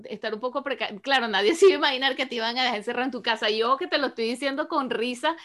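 A lively woman co-hosts a casual online chat. Her voice is a touch high, and she laughs as she talks and keeps checking that the listener follows. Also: rapid-fire. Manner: lively, laughs often, asks the listener for agreement. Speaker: co-host. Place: lives in Finland.

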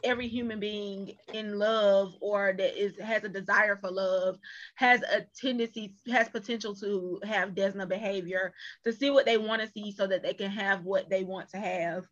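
A woman's voice: mid-range voice, fairly even intonation, moderate pace, muffled recording. A woman in a casual discussion, speaking as an opinionated cast member with emphatic delivery.

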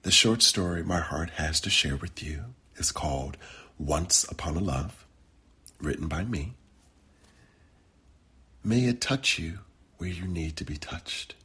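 A man with a deep voice, heavily husky and breathy. He talks slowly as a guest storyteller, measuring out each phrase. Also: lively intonation, pushed and bright.

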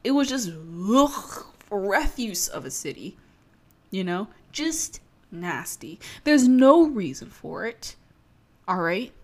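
A woman's voice: mid-range voice, very animated, slow pace, a little mellow. A young woman from Maryland with a slight Baltimore accent, ranting in a sarcastic, profane, emphatic comedy monologue. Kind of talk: comedic rant to camera.

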